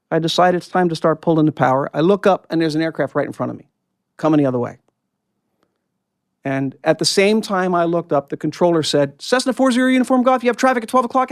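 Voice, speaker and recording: A man speaks as an interview guest, explaining and recounting in technical, conversational detail. His voice sits on the high side and moves along very quickly. The sound is dry and close. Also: vocal fry, a little mellow, no echo. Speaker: guest, former air traffic controller and pilot.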